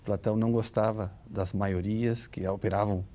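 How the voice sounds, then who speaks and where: A man giving a deliberate, didactic explanation as an academic guest, with hesitant pauses. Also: lowish voice, steady tone, warm tone. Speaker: panel guest, academic commentator. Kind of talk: explanatory literary discussion.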